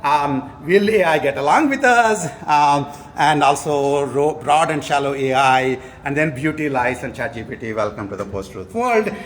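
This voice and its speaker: Animated man giving a talk. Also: a little high, moderate pace, a little hiss or hum. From India. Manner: animated, joking asides. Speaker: AI professor giving an award talk. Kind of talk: conference award lecture. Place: conference hall before an audience.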